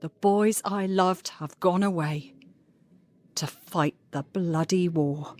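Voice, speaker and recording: A woman's voice: lowish voice, slow, a little mellow. A woman playing a guarded but defiant French cabaret singer in an audio drama. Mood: solemn reciting.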